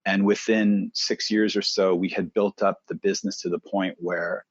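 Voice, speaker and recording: A middle-aged man from Canada, a presenter coach telling his career story in a relaxed, thoughtful interview. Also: deep voice, moderate pace, slightly rough, no room sound, lo-fi sound.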